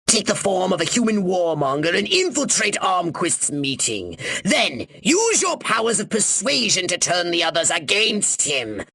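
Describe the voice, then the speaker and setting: A pompous alien commander from a video game, with a sharp, raspy voice that swoops theatrically. He schemes and scolds with excitable grandeur. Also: high voice, unhurried, hardly any pauses, no echo, heavily acted. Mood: instructive. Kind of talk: video game character voice lines.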